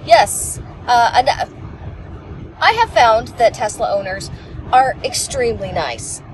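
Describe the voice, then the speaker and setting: Woman passenger, 40s, lively rambling chatter, a bit high, road noise in the car; dashboard camera. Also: a little slow. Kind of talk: casual chat. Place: inside a moving car.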